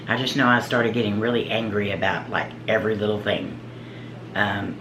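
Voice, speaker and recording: A woman with a deep, low voice, a paranormal investigator telling her own story in a casual, earnest, halting way. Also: background noise. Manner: earnest, halting, searching for words. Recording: roomy echo.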